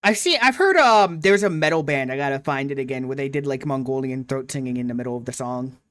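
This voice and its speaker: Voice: medium pitch, lively intonation, quick pace, a bit bright, no echo, studio quality. A livestream host chatting casually with a guest, playful and teasing, laughing often. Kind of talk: casual stream chat with a guest.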